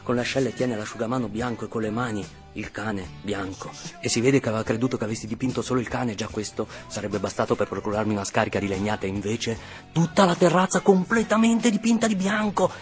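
A man's voice: mid-range voice, fast talker, soft background music, natural voice. An actor as a man intensely reliving childhood. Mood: tense, vivid. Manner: fragmented, repetitive, vivid present-tense storytelling. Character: Italian man dramatically reliving his 1980s childhood. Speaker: actor and narrator. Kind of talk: dramatic first-person radio monologue.

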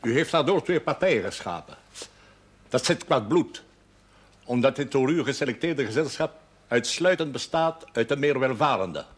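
A strict reproving parish bigwig, formal, kinda husky, tv drama. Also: male voice, medium pitch, lively intonation, normal speed. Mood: stern reproach. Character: stern, admonishing male authority figure in a parish.

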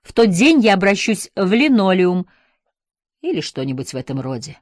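A woman's voice: medium pitch, sing-song, moderate pace, a little mellow, dry recording, clean studio sound. A woman narrator voicing a passionate, nostalgic former schoolteacher who swings between wistfulness and scolding. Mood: impassioned. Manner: impassioned, by turns wistful and scolding. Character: passionate middle-aged woman, former teacher, fond and scornful.

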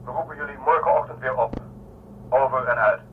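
An actor playing a calm mothership officer heard over a radio link in a science fiction radio drama. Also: medium pitch, fairly quick. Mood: calm, formal. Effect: two-way radio filter. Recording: tinny radio link, faint noise, distant.

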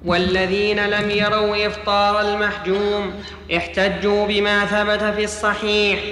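A man's voice: high-pitched, little pitch movement, moderate pace, pushed and bright, a little hiss or hum. A man reading a classical religious text aloud as a student in a lesson, in a formal reciting manner. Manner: formal recitation, repeating phrases when corrected. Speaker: student reader. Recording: echoey hall with long reverb.

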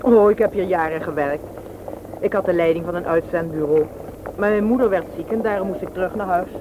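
A woman's voice: fairly low pitch, a little fast, lightly acted. An actress playing a forward, businesslike single woman who probes a suitor in a Dutch radio play. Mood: chatty, practical. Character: brisk, bossy, practical single woman sizing up a suitor. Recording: noisy old radio recording.

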